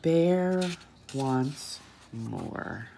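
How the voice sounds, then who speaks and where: A woman reading a picture book aloud expressively for young children, with pauses, asides and questions to her listeners. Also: a bass voice, big pitch swings, creaky, warm tone, a little hiss or hum. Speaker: children's story reader and teacher.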